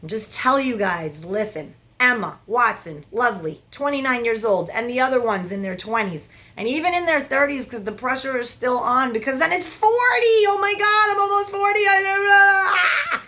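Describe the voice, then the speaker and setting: A woman in her forties, a love coach giving frank, animated big-sister advice straight to camera. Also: high-pitched, normal speed. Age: 40s. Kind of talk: direct-to-camera advice vlog.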